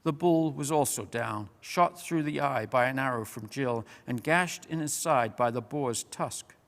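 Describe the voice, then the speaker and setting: A man reading a children's fantasy story aloud as a measured, expressive, dramatic narrator. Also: medium pitch, creaky. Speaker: storyteller, reader. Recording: long reverberant hall.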